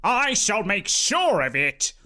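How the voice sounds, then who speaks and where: A man's voice: sits high, sing-song, sharp tone, full character voice. Uncle Horbert, a man doing a pompous rich uncle. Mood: stern. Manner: pompous, slow, decreeing. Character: pompous, stern, greedy rich old uncle.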